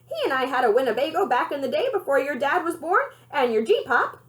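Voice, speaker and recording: A woman voicing a proud, feisty storybook grandmother who talks in a folksy, emphatic, excited way. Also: sits high, fairly quick. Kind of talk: children's storybook character voice.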